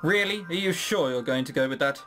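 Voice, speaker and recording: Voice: medium pitch, a little fast, fairly bright tone, soft background music. A let's play host giving casual, sweary, incredulous commentary while reading through a game. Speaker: gaming video host.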